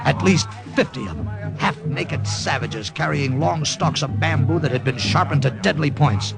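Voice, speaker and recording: An actor with an American accent playing a wisecracking soldier hero who narrates and acts in a vintage radio drama. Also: male voice, on the high side, moderate pace, creaky. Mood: tense, vivid. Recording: old radio recording with music behind.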